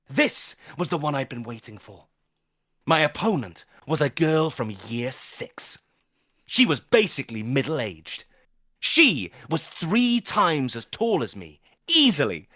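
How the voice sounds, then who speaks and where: A man's voice: mid-range voice, pushed and bright, no room sound, clean studio sound, full character voice. A man playing a grandiose, menacing schoolboy with earnest self-importance, in a dramatic first-person audio drama monologue. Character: grandiose, menacing schoolboy obsessed with conker glory.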